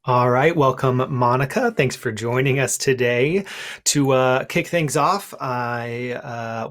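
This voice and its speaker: A man's voice: medium pitch, fairly bright tone. A man hosting a podcast and coaching in a casual, conversational way.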